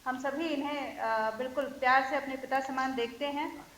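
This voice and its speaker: A high-voiced woman hosts a formal poetry gathering, speaking courteously and graciously. Her voice rings in a roomy space with a faint hiss behind it. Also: fairly even intonation, normal speed, fairly bright tone. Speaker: host. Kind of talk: formal event hosting.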